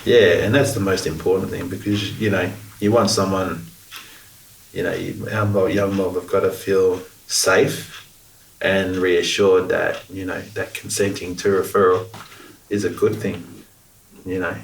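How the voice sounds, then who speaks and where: A man's voice: deep voice, flat delivery, measured pace, vocal fry, a little hiss or hum. A man in a group discussion who says "you know" often, heard from a distance in a room. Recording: roomy, distant recording.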